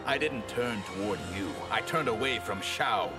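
A man acts a proud water mage from a fighting game. His voice is on the low side, grave and formal, with humorless menace. Music runs behind him. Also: animated, normal speed, close to an everyday voice. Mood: haughty menace. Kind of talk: video game fight-intro banter.

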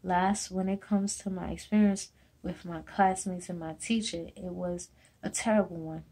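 A young woman from Jamaica with a Jamaican accent, slowly reading a personal essay to a webcam in a small room at home. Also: on the low side, darkish tone. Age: young adult. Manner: slow, halting reading with restarts. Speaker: student. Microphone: webcam or laptop camera close up.

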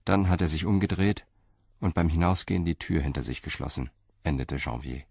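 A man narrating a radio crime drama in a measured, even way. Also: sits low, vocal fry, a little hiss or hum.

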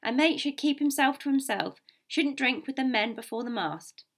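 Woman voicing a gruff, blunt sea captain. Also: high-pitched, moderate pace. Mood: blunt, disapproving. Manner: curt, emphatic, formal seaman's speech. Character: gruff, blunt, stern male ship's captain. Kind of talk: audiobook character voice.

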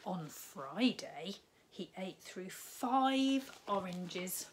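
A woman's voice: mid-range voice, a little hiss or hum. A woman, a primary school teacher reading a picture book aloud to children from her living room. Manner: slow, expressive storytime reading with lively rises on key words. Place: living room at home, indoors.

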